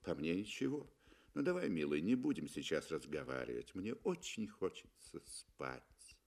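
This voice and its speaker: A man's voice: low-pitched, big pitch swings, normal speed, gravelly, a little mellow, pitched below the performer's own voice, heavily acted. A man voicing a cold, mocking, unfaithful wife in 1960s radio style, languid and dismissive.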